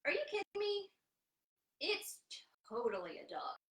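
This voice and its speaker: Woman playing an insistent storybook character, high and swooping, exclamatory, bright, roomy recording. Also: big pitch swings, measured pace, full character voice. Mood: excited, incredulous. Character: excitable, insistent storybook character arguing playfully. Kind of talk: children's picture book read-aloud.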